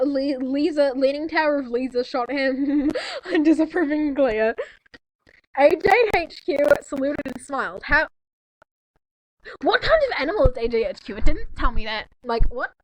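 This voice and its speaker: A teenage girl chatting casually and reading fanfiction aloud, giggly and quick to throw in mocking asides. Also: sits high, lively intonation, poor audio. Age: teens, thirteen. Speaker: co-reader on a call.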